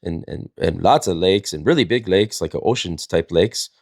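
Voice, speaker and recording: A man's voice: sits low, moderate pace, vocal fry, no echo, clean studio sound. A man, telling casual comedic stories in a rambling, folksy, jokey way as a livestream host. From Wisconsin, United States.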